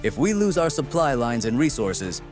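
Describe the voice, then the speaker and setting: A man's voice: mid-range voice, very animated, normal speed, darkish tone, soft background music, lightly acted. A man playing a firm, cautious army major debating in a scripted wartime radio drama. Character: firm cautious male army major, defense logistics expert.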